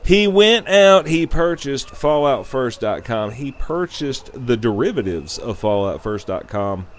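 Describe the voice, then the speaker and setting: Casual male radio-style host, opinionated and sarcastic, lively intonation, slightly noisy recording. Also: medium pitch, a little slow. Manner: irreverent, sarcastic, profane.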